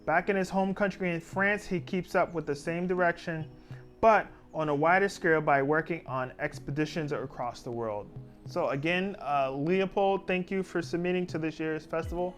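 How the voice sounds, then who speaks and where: A man's voice: fairly high pitch, moderate pace, fairly bright tone, soft background music. A man hosting a surf film festival guide. He reads film synopses aloud with frequent "uh" fillers and thanks each filmmaker. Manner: reads blurbs with frequent "uh" fillers, apologizes for pronouncing names. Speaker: festival host presenting film submissions.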